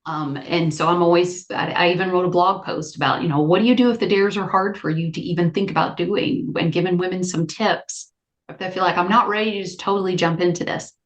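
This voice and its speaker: A woman clinical psychologist, a guest on a podcast, explaining her ideas in a lively, conversational way. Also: fairly low pitch, a little fast. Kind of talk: conversational interview.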